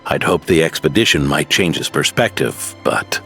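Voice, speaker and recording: An actor playing an aging veteran knight in a game cutscene, gentle and reflective, given to sighs. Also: male voice, extremely low pitch, expressive, moderate pace, creaky, faint music behind, full character voice. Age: older. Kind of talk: video game cutscene dialogue.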